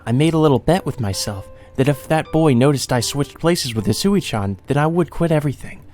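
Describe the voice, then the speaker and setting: A man's voice: fairly high pitch, animated, fairly quick, voice pushed up, lightly acted. A man voicing an emotionless, doll-like young maid from a visual novel, detached and wistful. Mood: resigned, sad. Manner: detached, wistful, softly confessing. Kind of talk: visual novel character reading. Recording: music under the voice.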